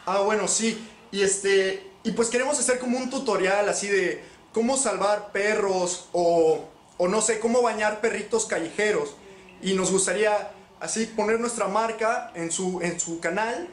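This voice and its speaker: A young man with a high voice makes a courteous, hesitant pitch over the phone. He is recorded in an echoey room. There is clear background noise around him. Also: moderate pace. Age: young adult. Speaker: prank caller. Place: living room sofa. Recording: echoey room, clearly noisy replayed video.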